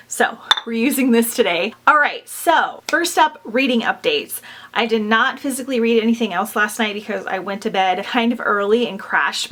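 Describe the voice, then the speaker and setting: A woman's voice: medium pitch, a bit bright. A young woman chatting casually to her viewers about books, bubbly and full of "like" fillers, a little stuffy from a mild cold. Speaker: book vlogger. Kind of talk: casual chat to camera.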